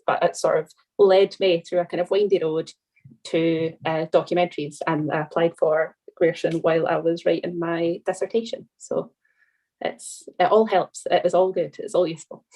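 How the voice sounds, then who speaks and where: Young scottish woman, low-ish voice, chatty and rambly on a panel. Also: darkish tone, low-quality recording. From Scotland. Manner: chatty, with many fillers and hedges. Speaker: alumna of a documentary training scheme. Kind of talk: casual panel chat.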